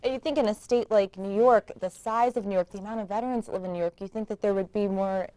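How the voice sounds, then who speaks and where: A woman co-hosting a talk show, asking brisk, friendly questions and wrapping up the segment. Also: mid-range voice, steady tone, dark tone, dry recording, low-quality recording. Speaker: interviewer, co-host.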